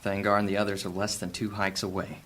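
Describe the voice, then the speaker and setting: A man's voice: lowish voice, little pitch movement, fast, a bit bright, a little hiss or hum, lightly acted. An actor playing a terse male ranger hurrying a princess to safety, giving curt commands. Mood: urgent.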